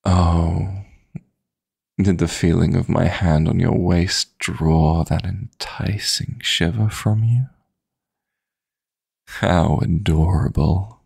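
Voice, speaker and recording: Man voicing a very gravelly, seductive demon lover. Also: darkish tone, dry recording, studio quality, heavily acted. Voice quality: gravelly, husky, breathy low voice. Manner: slow, flirtatious, murmured endearments. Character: seductive possessive male dream demon lover, tender. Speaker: audio roleplay voice actor. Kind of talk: intimate romantic sleep-aid audio roleplay. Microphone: close, dry studio-style microphone.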